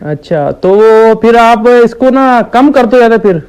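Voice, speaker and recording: Casting: a man acting a dim, persistent village shopkeeper for a comic prank call; high, smooth voice, deadpan and halting. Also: dull audio. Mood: naively stubborn. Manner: slow-witted, hesitant, naively persistent. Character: dim, stubborn village shopkeeper ordering absurd medicine quantities. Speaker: prank caller.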